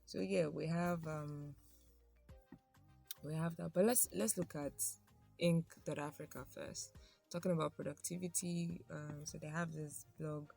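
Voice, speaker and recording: Gravelly, relaxed podcast co-host. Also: medium pitch, unhurried, soft background music. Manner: relaxed, drawls, many ums. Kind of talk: casual chat, reading from an article.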